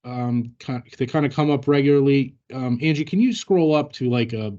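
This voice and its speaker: Man, mellow dark tone, average pitch, halting informal explanations, training presenter, lo-fi audio.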